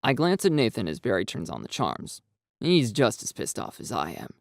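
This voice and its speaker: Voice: medium pitch, wildly swooping pitch, normal speed, no echo. A narrator reading a dramatised first-person story in a scripted style. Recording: dry, music behind. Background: background music.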